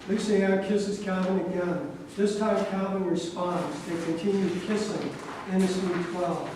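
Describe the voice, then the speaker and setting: A man's voice: high-pitched, fairly even intonation, unhurried. A man reading lines and stage directions at a staged play reading. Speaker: reader of parts and stage directions. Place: echoey room at a live staged reading. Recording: echoey room, far mic, faint noise.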